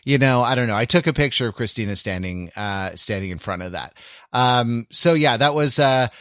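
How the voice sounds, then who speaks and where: A man's voice: mid-range voice, animated, normal speed, fairly bright tone, clean tone, dry recording, clean studio sound. A man co-hosting a podcast and chatting casually. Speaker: podcast co-host. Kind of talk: casual chat.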